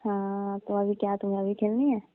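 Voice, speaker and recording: A woman's voice: mid-range voice, flat delivery, brisk, no echo, lightly acted. A young woman playing a coy, teasing female cousin in a casual, colloquial phone chat. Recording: thin narrow-band phone line.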